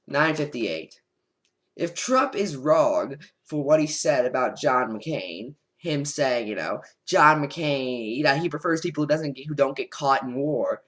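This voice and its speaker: Animated sarcastic male vlogger. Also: mid-range voice, unhurried. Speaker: political commentator vlogger. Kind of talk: casual reaction commentary over notes.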